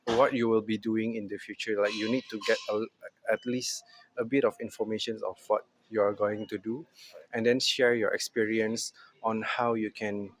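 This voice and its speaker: A man's voice: on the low side, slight background noise, poor audio. A man from Indonesia answering interview questions at an office, talking casually with many fillers. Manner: hesitant, filler-heavy. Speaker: interviewee, moderation operations.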